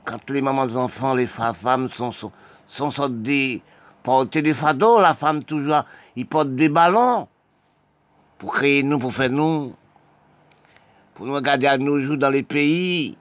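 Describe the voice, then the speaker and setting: Halting, impassioned man with restarts. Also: mid-range voice, a bit bright, no echo. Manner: halting, repetitive, many restarts and broken phrases. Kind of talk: impassioned spoken monologue.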